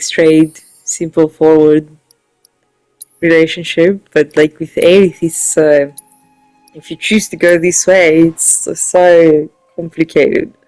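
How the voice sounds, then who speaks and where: A woman's voice: on the low side, no echo, low-quality recording. Dry-sounding woman, casual gaming commentary. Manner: informal, expressive, muses aloud. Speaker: gaming video host. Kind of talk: casual let's play commentary. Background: faint game music.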